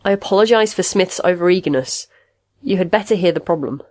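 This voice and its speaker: A woman's voice: lowish voice, a little fast, a little mellow, no echo, lightly acted. Woman voicing an earnest, urgent male investigator. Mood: firm, courteous. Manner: polite, urgent, persuasive. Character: earnest, diplomatic male investigator, losing patience.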